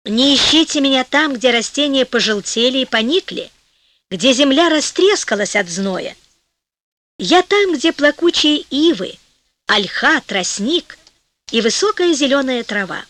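A woman voicing a restless, self-praising female water spirit in a storybook, smooth-talking and reassuring. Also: high-pitched, animated, unhurried, fairly bright tone. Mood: instructive.

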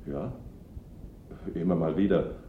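A man's voice: sits low, slow pace, echoey room, narrow, tinny audio. An actor playing an uneasy, evasive working man giving hesitant witness answers in a German radio play. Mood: guarded.

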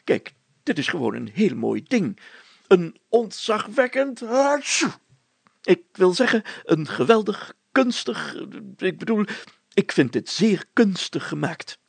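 Wanted: a man to play a pompous, husky-voiced storybook mayor, older, fussy, hesitant and stammering, recorded close and dry. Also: sits high, sing-song, takes it slowly, no echo, voice pushed up, heavily acted. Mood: excited, hasty. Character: fussy, flustered, pompous older male mayor reading aloud. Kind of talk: children's audio story character voice.

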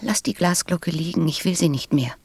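A woman playing a proud yet tender flower in a radio play, speaking softly and wistfully. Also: lowish voice, animated, moderate pace, dry recording. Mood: tender regret. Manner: soft, breathy, wistful. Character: proud, tender female flower, vain but brave.

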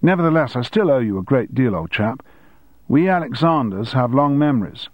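A man's voice: medium pitch, expressive, moderate pace, no room sound, highs cut off, pitched above the performer's own voice, close to an everyday voice. Man putting on a pompous British head boy. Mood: grandly grateful. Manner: plummy, exaggeratedly proper. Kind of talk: audiobook character dialogue.

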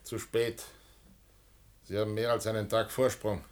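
A man's voice: lowish voice, flat delivery, a little slow, natural voice. A man acting in a German radio play as a defensive uncle who meddled to help, speaking in an insistent, self-justifying way. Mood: grim.

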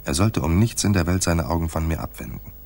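A hard-boiled private eye in a radio play. His voice is deep and rough-husky. He delivers his lines dryly, with wry understatement and a tough, terse manner. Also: fast, a little mellow. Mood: terse, tense. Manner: dry, understated. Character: wry, tough, laconic male private detective narrator.

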